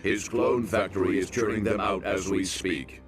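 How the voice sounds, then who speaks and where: A scheming male villain from an animated game, acted by a man, low in pitch. He speaks deliberately, smug and boastful with a resentful edge, over clear background music. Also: lightly acted.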